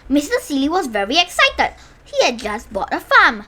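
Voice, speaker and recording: A woman's voice: sits high, wildly swooping pitch, normal speed, sharp tone. A woman reading a children's storybook aloud with playful, emphatic expression. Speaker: storybook reader.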